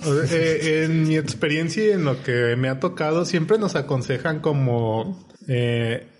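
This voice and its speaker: A man's voice: on the high side, measured pace. A man on a panel giving casual advice on job interviews, with frequent hesitant fillers. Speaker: panelist on tech careers.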